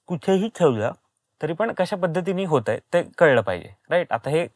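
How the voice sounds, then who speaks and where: A man's voice: a little high, lively intonation, moderate pace, no echo, studio quality. A man with an Indian accent, a voice actor and podcast guest, explaining step by step in casual talk with frequent check questions.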